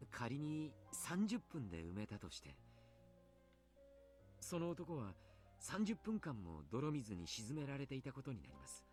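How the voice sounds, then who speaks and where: A man playing a grave, polite doctor and coach in a Japanese visual novel, speaking deliberately. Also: medium pitch, lightly acted.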